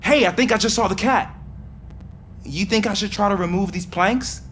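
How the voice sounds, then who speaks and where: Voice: mid-range voice, moderate pace, fairly bright tone, lightly acted. An actor playing an eager, chatty young rookie police officer in a video game, talking in a casual, conversational way. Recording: noisy game ambience behind.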